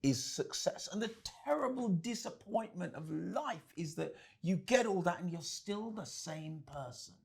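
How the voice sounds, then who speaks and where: Gravelly, husky man speaking bluntly. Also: sits high, animated, measured pace, hardly any pauses, a little mellow. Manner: blunt, emphatic. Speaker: podcast guest, vocal coach. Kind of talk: casual conversation.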